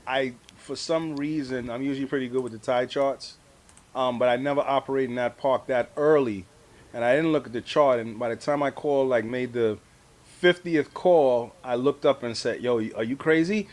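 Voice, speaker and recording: A man's voice: mid-range voice, moderate pace. A middle-aged or older man, a radio hobbyist guest, telling his story in a casual, colloquial way. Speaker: amateur radio operator, award-winning guest. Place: live video stream.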